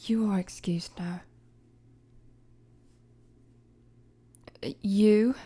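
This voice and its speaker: A woman plays a young queen in an intimate audio drama, regal yet weary and guarded. Her voice is mellow and breathy, and she speaks slowly, with formal, measured words. She addresses the listener directly, firm beneath the softness. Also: mid-range voice. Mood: cold, commanding. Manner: measured, hesitant, formal wording. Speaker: audio roleplay performer.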